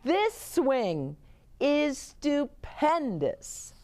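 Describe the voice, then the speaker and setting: A woman voicing a confident, inventive young girl from a children's storybook, exclaiming and gently teasing. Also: high voice, sing-song, extremely slow, voice pushed up, full character voice. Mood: delighted.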